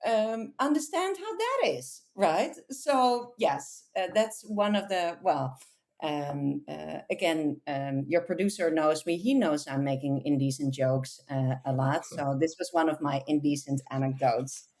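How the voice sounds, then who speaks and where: A woman, a podcast guest telling lively, self-amused anecdotes in a casual interview. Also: low-pitched, measured pace. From Netherlands. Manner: chatty, animated, self-amused storyteller.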